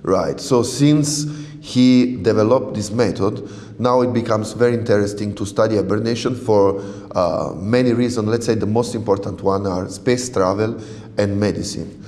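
A man's voice: lowish voice, normal speed, darkish tone, echoey room, long reverb tail. A man from southern Italy whose first language is Italian, speaking English as a researcher guest. He talks hesitantly, with fillers and restarts. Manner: hesitant, searching for words, many fillers. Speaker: radiobiologist guest. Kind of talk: interview conversation.

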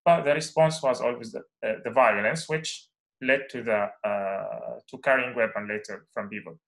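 A man's voice: mid-range voice, steady tone, normal speed, vocal fry, a bit bright, rough recording. Hesitant Syrian-born young man. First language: Arabic. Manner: hesitant, many fillers and restarts. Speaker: guest speaker. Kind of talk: personal talk.

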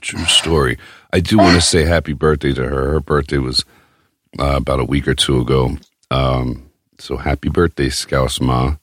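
A man's voice: extremely low pitch, fairly even intonation, normal speed, a bit bright, dry recording. A man hosting a casual podcast chat, talking in a brash, crude, joking way. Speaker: podcast host.

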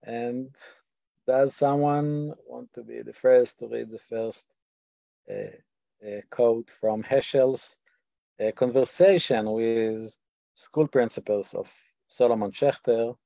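A man with an Israeli accent who teaches a class over a video call, speaking haltingly with many fillers and restarts. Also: medium pitch, steady tone, creaky, dark tone, dry recording. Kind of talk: lecture on online video call.

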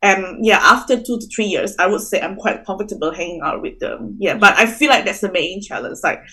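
A woman's voice: mid-range voice, expressive, brisk, pushed and bright, breathy edge, low-quality recording. Earnest young woman from Indonesia. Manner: earnest, many fillers like "you know". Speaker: early childhood teacher, guest. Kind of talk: casual interview chat.

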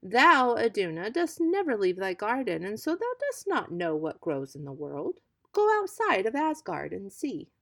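A woman's voice: mid-range voice, huge pitch swings, measured pace, gravelly, voice pushed up, full character voice. A woman voicing a sly male trickster god who coaxes in an insinuating way. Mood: coaxing. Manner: coaxing, insinuating.

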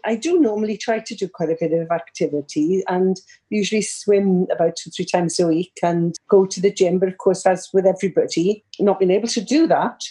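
An older woman with a South Wales accent chats as a guest on a community podcast. Her voice is warm and mellow, and she talks in a lively, forthcoming way. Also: fairly low pitch, fairly quick, poor audio. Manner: chatty, lively, says she is loud. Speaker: retired teacher, guest.

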